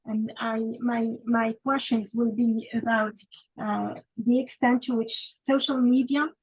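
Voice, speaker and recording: A woman from Tunisia giving an academic conference talk over a video call. Her voice sits a little high and slightly husky, with a dry, close sound. She speaks hesitantly, with many fillers. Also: flat delivery, measured pace, no echo, low-quality recording. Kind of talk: conference paper presentation.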